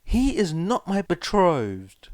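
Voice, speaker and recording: A brash teenage boy in a story reading, acted out by a man. The voice jumps well above a grown man's register and comes out loud and indignant. He blurts and stammers, clueless and easily outraged. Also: high-pitched, wildly swooping pitch, slow pace, no room sound, voice pushed up, heavily acted.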